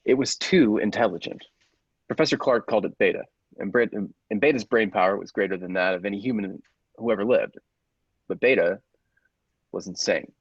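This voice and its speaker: A man playing a grave, persuasive scientist's assistant in a radio play, deliberate and theatrical, with secretive zeal. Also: fairly low pitch, breathy edge, dry recording, dull audio. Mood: intense, revealing. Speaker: podcast actor.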